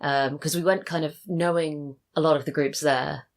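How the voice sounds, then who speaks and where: A woman's voice: deep voice, fairly even intonation, normal speed. An English woman chatting casually as a podcast guest. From England. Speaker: podcast guest, audio drama writer and voice actor. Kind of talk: casual chat.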